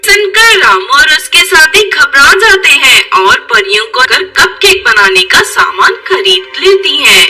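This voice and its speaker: High-pitched, animated woman storyteller. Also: measured pace, hardly any pauses, distant mic, over music. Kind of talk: animated children's story narration.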